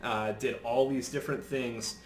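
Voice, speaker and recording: A man's voice: mid-range voice, steady tone, moderate pace. A man co-hosting a podcast, chatting casually about films. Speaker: podcast co-host. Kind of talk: casual movie discussion. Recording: echoey room, faint background noise.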